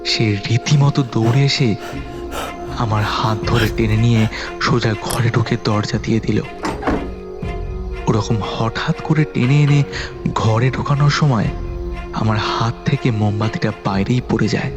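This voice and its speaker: A man narrating a village horror story in the first person, measured and tense. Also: on the low side, over loud music. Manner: measured storytelling, turns anxious in dialogue.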